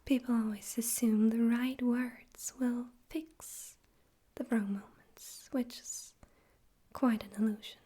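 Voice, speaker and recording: A woman voicing a suave, amused, menacing male demon overlord in a breathy whispered ASMR roleplay, slow and condescending. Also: on the high side, fairly even intonation, dark tone. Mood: coolly threatening. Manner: slow, condescending, teasing, deliberate.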